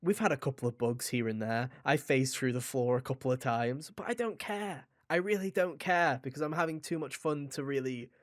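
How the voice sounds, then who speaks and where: A man's voice: medium pitch, expressive, fairly quick, few pauses, no room sound, studio quality. Enthusiastic, rambling man in casual podcast chat. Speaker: podcast co-host reviewing a video game.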